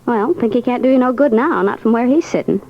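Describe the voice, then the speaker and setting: An actress playing a brassy, slangy American night club hostess, tough but flirtatious, in a 1940s radio crime drama. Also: female voice, a little high, quick pace. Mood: wary, brassy. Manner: sassy, slangy, world-weary wisecracks. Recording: muffled old radio recording.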